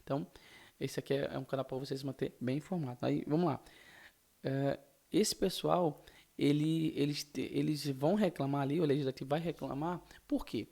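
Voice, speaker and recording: A man's voice: a little high, normal speed, gravelly, darkish tone. A man with a Brazilian Portuguese accent giving informal political news commentary as a channel host, emphatic and indignant, repeating points and posing rhetorical questions.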